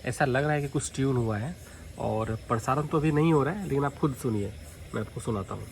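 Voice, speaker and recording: A man's voice: mid-range voice, fairly quick. A man with an Indian accent explains things casually to viewers in a vlog, often restating his points. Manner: explanatory, repeats points, addresses viewers directly. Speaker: vlogger, shortwave radio hobbyist. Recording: clearly noisy home recording, radio static.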